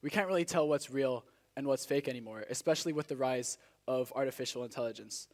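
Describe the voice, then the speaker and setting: A teenage man raised in Spain delivers a rehearsed speech to a live audience as a student contestant, with earnest, deliberate, rhetorical phrasing. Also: medium pitch, darkish tone. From Spain, born in South Africa. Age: teenager, high school student.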